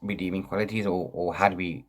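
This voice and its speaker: A man's voice: sits low, fairly even intonation, fast talker. A man talking casually as a book vlogger, rambling and often interrupting himself with repeated words. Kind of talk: casual book chat to camera.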